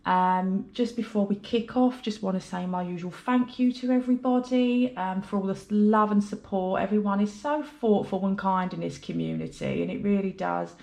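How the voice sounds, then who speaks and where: A woman's voice: medium pitch, steady tone, moderate pace, vocal fry, few pauses, mellow. A British woman with a blocked-up nose from a head cold, chatting casually as she counts cash for her budget vlog. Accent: British English. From United Kingdom. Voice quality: chatty, rambling, apologetic. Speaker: budgeting vlogger.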